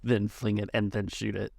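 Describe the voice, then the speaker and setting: A man's voice: lowish voice, flat delivery, unhurried, creaky, a little mellow, no echo, studio quality. A man narrating and refereeing a tabletop roleplaying game as its game master.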